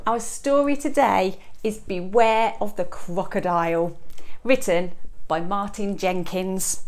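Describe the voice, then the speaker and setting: Female storyteller, thirties, medium pitch, expressive classroom read-aloud for young children. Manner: expressive, dramatic emphasis and pauses. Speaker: teacher reading to a young class. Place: small classroom, indoors. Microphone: clip-on lapel mic.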